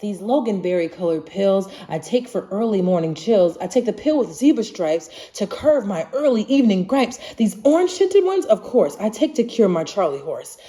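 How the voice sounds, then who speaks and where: Animated woman reading a rhyming storybook. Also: medium pitch, normal speed, few pauses. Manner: expressive, singsong rhyming delivery. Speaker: storybook reader. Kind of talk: children's picture book read-aloud in rhyme.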